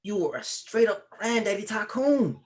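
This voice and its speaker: An actor at a table read playing a teasing, slangy young grandson. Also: male voice, sits high, lively intonation, moderate pace.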